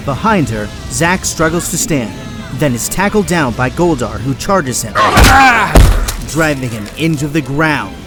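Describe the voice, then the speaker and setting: Male storyteller, mid pitch, dramatic action narration, very loud orchestral music behind. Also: animated, a little slow.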